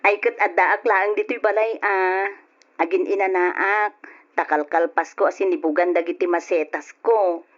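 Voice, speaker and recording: An actress with a Filipino Ilocano accent playing an anxious, dutiful, soft-spoken wife in an old-fashioned radio drama. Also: female voice, fairly low pitch, fairly even intonation, normal speed, lightly acted. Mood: puzzled, uneasy. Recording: dull lo-fi radio drama recording.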